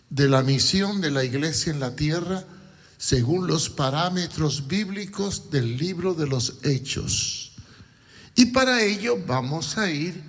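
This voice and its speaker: A man from Cuba preaching a sermon in a deliberate, emphatic way, with repetition and rhetorical questions. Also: on the high side, fairly bright tone. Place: large church hall. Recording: long hall reverb.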